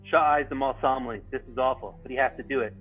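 A man's voice: mid-range voice, fast talker, phone-quality sound, soft background music. A man narrating stage directions at a comedy table read, informal, with stumbles and ad-libs.

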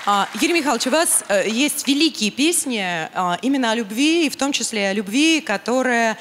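A woman's voice: on the high side, animated, a little fast, a bit bright. A woman co-hosting a live TV dance show on stage with a handheld mic, chatty with fillers. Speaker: TV show co-host. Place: large TV studio stage. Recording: live studio with crowd noise.

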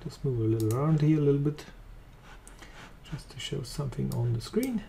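A man with a German accent walking through a software demo, talking casually as he clicks along. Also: medium pitch, fairly quick, creaky, slight background noise. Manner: relaxed, thinking aloud while clicking. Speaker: software trainer. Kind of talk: screen-recorded software demo.

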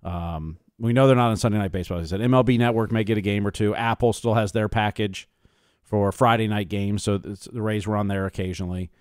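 A man's voice: on the low side, steady tone, fast talker, no room sound, clean studio sound. A man co-hosting a sports podcast, casually chatting and handling listener questions.

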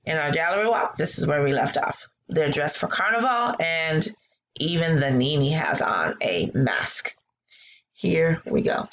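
A woman's voice: low-pitched, unhurried. A woman teaching a read-aloud lesson from her living room on a laptop webcam, encouraging and praising her students as she goes. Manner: animated and encouraging, praising answers. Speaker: teacher reading aloud to a class. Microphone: laptop webcam at close range.

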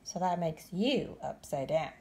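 A woman's voice: fairly low pitch, expressive, unhurried, warm tone. A woman voicing a blunt, self-assured storybook bat, emphatic and stretching out the words. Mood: matter-of-fact. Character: blunt, sure-of-itself bat correcting a youngster.

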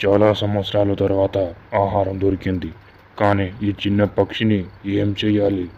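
A man's voice: on the low side, completely flat delivery, a little slow, warm tone. A man voicing a fearsome, hungry but helpful cave demon in a children's cartoon story. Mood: menacing, hungry. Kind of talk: scripted cartoon story dialogue. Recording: dull lo-fi audio, faint noise.

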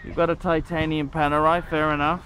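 A man's voice: fairly high pitch, flat delivery, a little fast, fairly bright tone, a little hiss or hum, low-quality recording. A middle-aged man with a slightly raised voice speaks outdoors on a lapel mic, faint outdoor ambience behind him. He rambles crudely and bluntly, swearing freely and restarting phrases. The tone is a casual, unpolished vlog rant. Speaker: watch channel host. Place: outdoors in a park on grass.

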